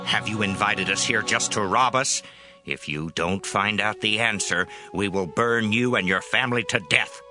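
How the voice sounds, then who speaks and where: A man's voice: fairly low pitch, normal speed, music in the background, lightly acted. A man playing a cold, threatening young thug in an audio drama.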